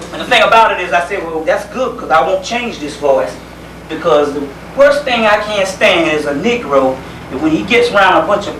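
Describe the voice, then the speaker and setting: A man from South Carolina with a Southern US accent, giving an informal lecture in a folksy, conversational manner with pauses and repeated phrases. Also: fairly high pitch, bright, forceful tone. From South Carolina, United States. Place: indoor lecture gathering. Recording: roomy, distant microphone, faint background noise.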